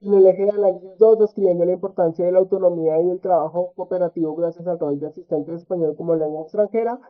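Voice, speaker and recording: A man giving an academic research presentation at a conference, halting often with filler sounds and tag questions. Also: high-pitched, steady tone. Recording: tinny phone-like audio.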